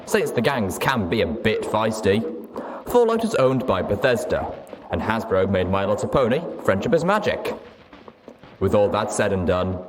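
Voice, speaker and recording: A man narrating a scripted story as a dramatic reader, with deliberate, measured phrasing. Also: fairly low pitch, noisy background. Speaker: narrator, reader of a fan fiction audiobook.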